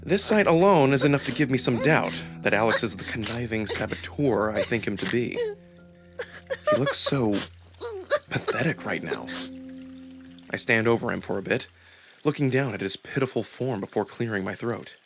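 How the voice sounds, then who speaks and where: A man reading a visual novel aloud as narrator, in an expressive, deliberate first-person storytelling style. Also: medium pitch, music in the background.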